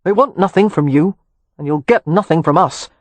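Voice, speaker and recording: A brooding elder brother, voiced by a male narrator in an audiobook. He is blunt and sardonic, with a husky edge to his voice. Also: mid-range voice, animated, fast, warm tone, no room sound. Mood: brusque, sardonic. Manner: brusque, sardonic. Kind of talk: audiobook character dialogue.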